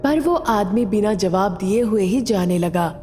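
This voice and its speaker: A woman's voice: mid-range voice, lively intonation, a little slow, darkish tone, in a large hall, soft background music. A woman narrating a scripted story as a storyteller.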